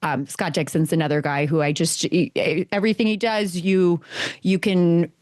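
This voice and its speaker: Earnest woman co-host, lower-pitched voice, dry sound. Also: fairly even intonation, moderate pace, darkish tone, no room sound. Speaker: podcast co-host. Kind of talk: casual podcast talk about motorsport.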